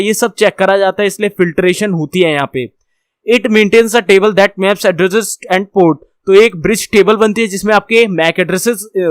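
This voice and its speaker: High-pitched man teaching a lesson. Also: no echo. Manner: reads points aloud, then re-explains them repetitively. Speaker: instructor. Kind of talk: informal explanatory lesson on computer networking.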